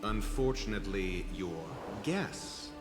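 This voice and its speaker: Haughty male scholar, video game drama, condescending, slow measured delivery, echoey room, cool and calculating. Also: lowish voice, expressive. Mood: calculating, explanatory. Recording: roomy echo under loud music.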